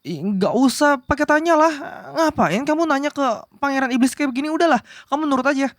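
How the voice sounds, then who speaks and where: Man voicing a young demon prince, soft-hearted tsundere, possessive and easily flustered, ASMR roleplay, close dry sound. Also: extremely high pitch, lively intonation, fast, no room sound. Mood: flustered, bossy. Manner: stammering, flustered denials, possessive commands. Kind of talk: ASMR romantic roleplay acting.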